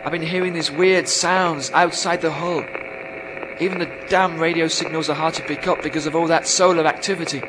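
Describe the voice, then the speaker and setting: An actor playing a lonely, stranded astronaut fraying on a moon base in a radio drama, emphatic and agitated. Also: male voice, a little high, fairly even intonation, brisk, background noise, natural voice. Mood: lonely, frustrated. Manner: emphatic, self-addressing, agitated.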